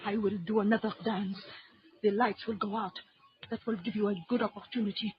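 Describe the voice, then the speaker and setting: An actress playing an intense, dramatic dancer and villain's accomplice in an old-time radio serial, with a husky, breathy voice. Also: female voice, mid-range voice, flat delivery, a little fast, background noise, close to an everyday voice. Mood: urgent, pleading. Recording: muffled, dry old radio recording.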